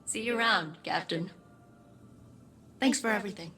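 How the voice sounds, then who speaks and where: Bright-voiced wistful woman in a game. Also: mid-range voice, moderate pace, soft background music. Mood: wistful, hopeful. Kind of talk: video game voice acting.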